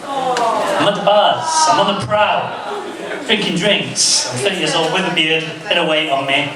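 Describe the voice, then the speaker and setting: A man in his thirties telling a self-deprecating stand-up story on stage, stumbling and restarting as he goes. His slightly high voice comes from a mic some way off, and the club around him rings with loud echo and heavy noise. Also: moderate pace, far from the mic, over a noisy crowd. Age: 30s. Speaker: stand-up comedian. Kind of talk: stand-up comedy set. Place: comedy club. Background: audience laughter.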